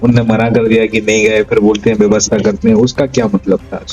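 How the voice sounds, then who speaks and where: A man's voice: fairly low pitch, level tone, a little fast, darkish tone, soft background music. A man working as a news reporter, putting questions to an interviewee. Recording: dull, band-limited sound.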